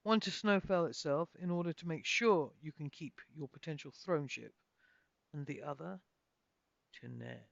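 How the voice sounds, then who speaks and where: Woman acting male mage, composed, softly authoritative, formal deliberate phrasing, storybook reading, dull recording. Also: low-pitched, creaky, no room sound. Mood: measured, authoritative. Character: calm, measured male magic user, softly authoritative leader.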